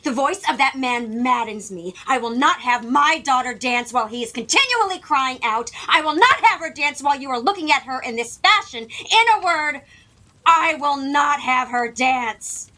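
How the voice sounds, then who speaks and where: A woman's voice: high-pitched, animated, moderate pace, pushed and bright. A woman playing a scornful, jealous middle-aged queen in an audio drama, imperious and mocking. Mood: commanding, exasperated. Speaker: actor. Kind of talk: theatrical audio drama acting.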